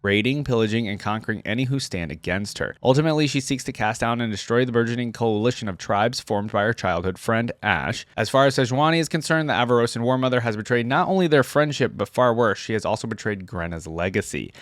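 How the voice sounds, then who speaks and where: A man's voice: medium pitch, animated, quick pace, few pauses, dry recording, clean studio sound. A man hosting a reaction channel, moving between casual commentary and reading aloud.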